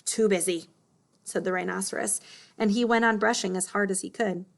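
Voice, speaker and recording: A woman's voice: mid-range voice, fairly even intonation, brisk, vocal fry. A woman narrating a children's story as a read-aloud. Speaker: storybook reader.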